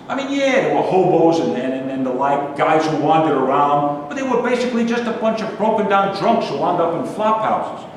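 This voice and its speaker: A man in a staged play reading, playing a bitter, nostalgic, judgmental city loner who rants haltingly. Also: on the high side, lightly acted. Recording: very echoey hall, distant mic, faint noise.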